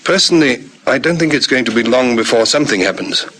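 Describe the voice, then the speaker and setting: An actor with a South African accent playing a diligent, respectful police lieutenant in a vintage radio drama, brisk and formal. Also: male voice, mid-range voice, animated, pushed and bright. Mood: businesslike, dutiful.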